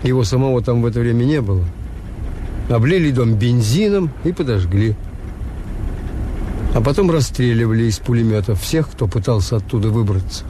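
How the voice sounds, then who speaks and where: An actor playing a cynical, reserved hotel owner in a Soviet radio drama, speaking and narrating in a measured, dry, courteous way. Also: male voice, mid-range voice, noisy background, close to an everyday voice. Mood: grim, restrained. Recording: old radio drama with music behind.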